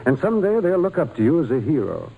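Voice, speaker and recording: Religious brother and mentor: husky, lively intonation, kindly, encouraging, old radio play. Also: male voice, on the high side, fast talker, exaggerated voice, low-quality recording. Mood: gentle, encouraging. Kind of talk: old-time radio drama acting.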